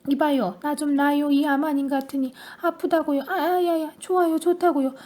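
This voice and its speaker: A woman's voice: high voice, fairly even intonation, moderate pace, darkish tone, natural voice. A woman voicing a grumpy old grandmother who complains with exasperation in an audiobook story. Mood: exasperated.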